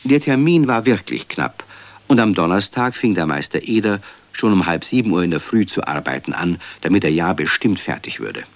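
A man narrating a children's radio play as a calm, amused storyteller. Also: sits low, moderate pace, no room sound. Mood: wry storytelling.

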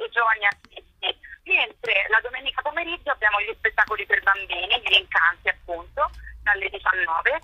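Woman, interview guest, forceful bright tone, rough-edged, articulate and explanatory, dry lo-fi sound. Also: mid-range voice, no room sound. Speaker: interview guest, festival curator and performer. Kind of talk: spoken interview, explaining an arts programme.

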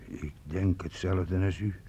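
A man's voice: low-pitched, fairly even intonation, slow, dark tone, husky, exaggerated voice. An actor playing an uneasy, gossiping soldier in a radio drama, hesitant and ominous as he reports to his captain. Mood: uneasy, secretive.

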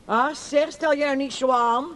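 A woman's voice: sits high, fairly even intonation, unhurried, a bit bright. An actress in a radio play, playing a sceptical, scornful, grumbling woman. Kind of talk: Dutch radio play acting. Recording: distant, noisy old radio drama.

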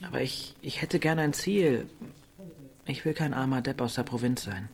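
A man's voice: medium pitch, normal speed, gravelly, a little hiss or hum, lightly acted. A man playing a restless, self-doubting teenage village boy in a naturalistic German radio drama. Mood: dejected.

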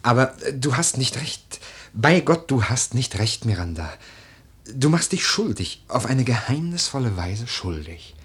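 An actor playing an earnest, melancholy nobleman in a literary radio drama, speaking in a soft, husky voice with grave, measured phrasing. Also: medium pitch, sing-song, heavily acted. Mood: grave, urgent. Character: earnest, melancholy nobleman, the widow's admonishing cousin.

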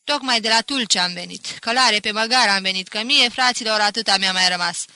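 A woman voicing a boisterous, folksy male mountain shepherd in an audiobook, loud and exclamatory. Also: medium pitch, no room sound. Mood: rueful, boisterous. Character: hearty, boisterous male mountain shepherd, robbed but unbowed. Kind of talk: audiobook character acting.

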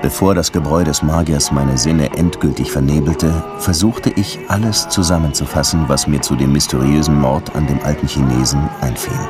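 Very deep-voiced weary detective. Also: male voice, fairly even intonation, moderate pace, hardly any pauses, over music. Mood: dry narrating. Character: world-weary hard-drinking male Havana police detective. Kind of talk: German radio drama, first-person narration and dialogue.

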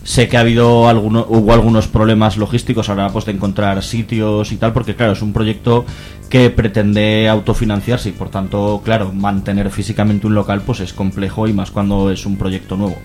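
A man's voice: on the low side, flat delivery, fairly quick, darkish tone. A man with an accent from Spain explaining things as an activist guest in a radio interview, in a hesitant, careful way. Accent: Spanish from Spain.

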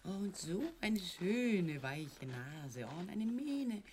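An actress playing a doting, gently stubborn middle-aged mother in a satirical radio play, dreamy and softly insistent. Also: lowish voice, big pitch swings, slow pace. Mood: cooing, delighted. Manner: cooing, apologetic, softly insistent. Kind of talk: German radio play acting, satirical comedy.

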